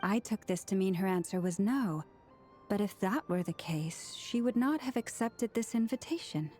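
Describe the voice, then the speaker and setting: A gentle game priestess, voiced by a woman, with a dark, soft tone at a medium pitch. She speaks formally and earnestly, in measured, polite phrases. Faint music sits behind her. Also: lively intonation, lightly acted. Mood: wistful, troubled. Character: gentle, earnest adult priestess of a moon-worshipping order. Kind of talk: video game voice acting.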